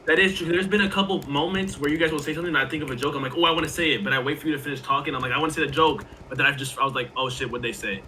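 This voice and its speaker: A man's voice: fairly high pitch, fairly even intonation, racing, hardly any pauses, bright, forceful tone, a little hiss or hum. A young man of about twenty chatting casually, joking and stammering in a self-deprecating way. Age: young adult. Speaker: podcast guest. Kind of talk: casual chat.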